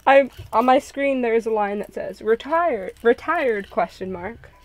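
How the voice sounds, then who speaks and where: A woman's voice: a little high, normal speed, no echo, poor audio. A woman hosting a group read-along, switching between casual joking chat and story narration, often poking fun at herself.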